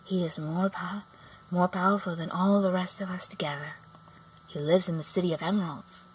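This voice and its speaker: A woman voicing a kindly, solemn little old good witch in a storybook, explaining things gently. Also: fairly low pitch, fairly even intonation, normal speed, husky, recorded from a distance, low-quality recording. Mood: explanatory.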